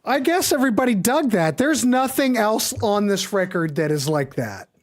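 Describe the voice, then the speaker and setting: A woman's voice: medium pitch, animated, normal speed. A woman hosting a music show with casual, off-the-cuff chat, folksy and chatty, often thinking aloud.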